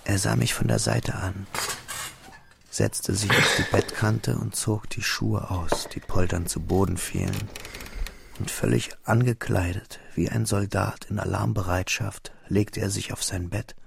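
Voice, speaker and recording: An actor playing a grown man who wistfully recalls his schooldays, narrating a radio drama in a measured, literary way. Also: low voice, creaky, slight background noise, full character voice. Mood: hushed. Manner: measured, detailed, literary storytelling. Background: music.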